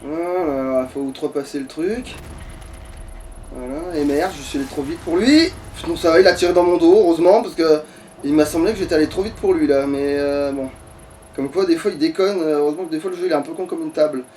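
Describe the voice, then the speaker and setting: A man's voice: a little high, a little fast, warm tone. A man giving casual let's play commentary, thinking aloud in a grumbling, foul-mouthed way. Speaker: gaming streamer. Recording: distant mic with background noise.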